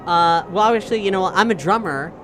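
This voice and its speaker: A woman's voice: medium pitch, brisk, background noise. A woman playing a tabletop role-playing game, talking casually and dryly, with some swearing. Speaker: player. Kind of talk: casual improvised tabletop role-play chat. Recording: music behind the voice. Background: music.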